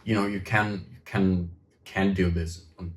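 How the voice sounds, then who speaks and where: A man's voice: low-pitched, steady tone, measured pace. A man co-hosting a casual Q&A, reading viewer questions, giving brief dry replies with hesitant fillers. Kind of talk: casual Q&A chat. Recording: echoey room.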